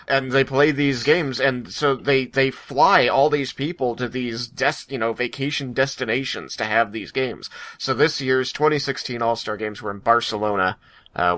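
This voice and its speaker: A man's voice: mid-range voice, sharp tone. A man hosting a casual podcast, bantering with friends in a joking, self-mocking way. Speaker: podcast host.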